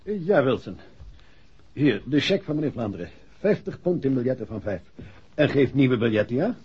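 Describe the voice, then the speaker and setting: A man's voice: medium pitch, sing-song, moderate pace, breathy edge. An actor playing a genial, obliging bank manager in a 1960s radio drama, chatty and hesitant, repeating himself as he thinks. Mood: genial, musing. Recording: dull, muffled 1960s radio recording.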